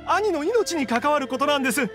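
A man's voice: very high voice, animated, a little fast. A man voicing an earnest, anxious young swordsman in a game, with formal, polite, hesitant speech. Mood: pleading. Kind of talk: voiced game dialogue. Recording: game music behind the voice.

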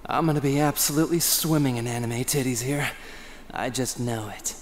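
A man voicing a crude, sardonic young hero in an anime parody, deadpan and easily exasperated. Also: mid-range voice, normal speed, background noise, close to an everyday voice. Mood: dry, deadpan. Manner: deadpan, foul-mouthed.

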